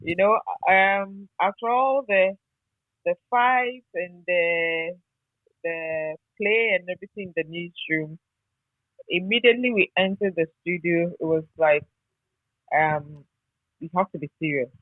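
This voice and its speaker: A woman's voice: fairly low pitch, a little slow, no room sound. A woman with a Ghanaian accent reminiscing nostalgically in an interview, repeating words for emphasis, over a phone-like connection. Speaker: interviewee, former radio newsreader. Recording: tinny narrow phone-like line.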